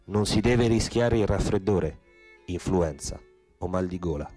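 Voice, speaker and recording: A man's voice: low voice, unhurried, warm tone. A man delivering theatrical, poetic scripted narration for a radio play, with music in the background.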